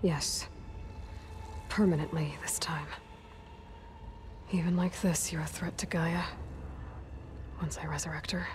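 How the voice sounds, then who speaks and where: A young warrior woman in a video game. Her voice sits slightly low, with a determined, dryly sarcastic edge as she presses for answers. Faint ambience sits behind her. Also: steady tone, a little slow, pauses often, a little mellow, a little hiss or hum. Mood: grim resolve. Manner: dry, sarcastic, pressing questioner. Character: determined, sardonic young female warrior hero. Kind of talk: video game dramatic acting.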